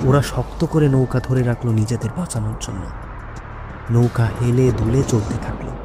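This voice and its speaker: A man narrating a scripted horror story as the storyteller. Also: medium pitch. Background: music.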